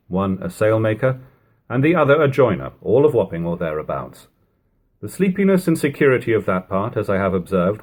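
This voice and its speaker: A man reading an old book aloud as a literary narrator, in a measured, deliberate way with formal phrasing. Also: lowish voice, darkish tone. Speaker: audiobook reader. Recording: lo-fi home recording.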